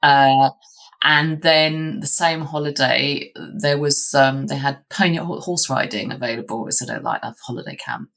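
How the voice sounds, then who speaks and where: A woman's voice: sits low, fairly even intonation, normal speed, fairly bright tone. A woman, telling her story as an interview guest, chatty with frequent ums and restarts. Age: 50s. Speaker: guest, lawyer. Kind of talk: candid interview answers.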